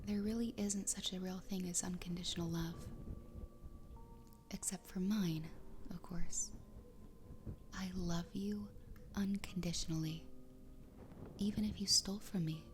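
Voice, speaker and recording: A woman's voice: fairly low pitch, steady tone, close to an everyday voice. Fox girl wife, played by a woman: obsessively loving, soothing intimate whisper for ASMR roleplay, close-miked over noticeable ambient noise. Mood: quietly possessive. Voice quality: breathy, whispered. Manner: slow, intimate, soothing, doting. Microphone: close ASMR microphone.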